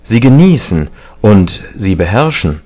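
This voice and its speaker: A man's voice: lowish voice, very animated, unhurried, a little mellow. A man voicing a callous young dandy in an audiobook novel, haughty, emphatic and defiant. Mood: indignant, defiant.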